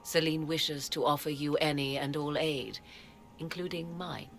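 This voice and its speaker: Haughty, gravel-edged court sorceress. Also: female voice, low voice, a little slow, fairly bright tone, soft background music. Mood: wry, formal. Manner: formal, archaic, aloof phrasing. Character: haughty, cultured sorceress, an imperial court advisor. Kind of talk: theatrical video game acting.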